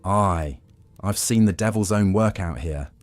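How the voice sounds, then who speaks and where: A man voicing a grizzled, world-weary old pirate with a rough, raspy voice, telling grim tales in sailor dialect for a story. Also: deep voice, unhurried, no room sound, soft background music. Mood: grave.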